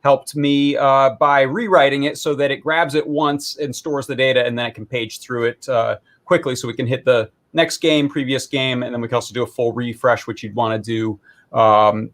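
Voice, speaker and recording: A man's voice: mid-range voice, fairly even intonation, normal speed, a bit bright. Casual man explaining a tech demo. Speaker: maker presenting a hobby electronics project. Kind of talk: casual live demo talk, explaining technical details.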